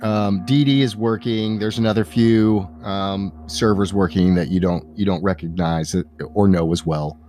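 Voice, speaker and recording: Low-voiced man running a fantasy game. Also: a little mellow. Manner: descriptive second-person storytelling. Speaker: game master. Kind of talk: narrating a tabletop fantasy game.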